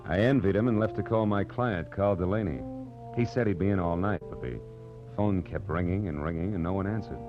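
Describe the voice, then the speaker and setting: Very deep hard-boiled radio detective, acted by a man. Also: fairly quick, close to an everyday voice. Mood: suspicious. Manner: terse, wry, wisecracking. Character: hard-boiled wisecracking male private detective, weary and tough. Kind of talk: 1940s radio acting, noir first-person narration. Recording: muffled old radio recording, music behind.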